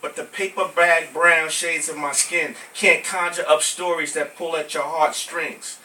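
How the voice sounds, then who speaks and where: An American man from Brooklyn performs spoken word poetry in an emphatic, deliberate way. His voice is bright and slightly high. He is recorded at some distance from the mic, in a live venue. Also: steady tone, a little hiss or hum. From Brooklyn, New York, United States.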